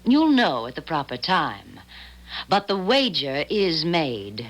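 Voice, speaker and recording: Sly femme fatale, low and widely swooping voice, condescending and teasing, radio drama. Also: female voice, huge pitch swings, heavily acted. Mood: teasing, menacing. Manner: teasing, smooth, deliberate, condescending. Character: sly, poised femme fatale needling a jealous wife. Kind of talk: 1970s radio drama acting.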